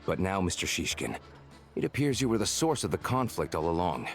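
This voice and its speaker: An actor playing a stern officer in a video game, speaking in a formal, measured manner. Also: male voice, low-pitched, very animated, soft background music. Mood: disappointed. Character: stern, formal male officer reporting findings.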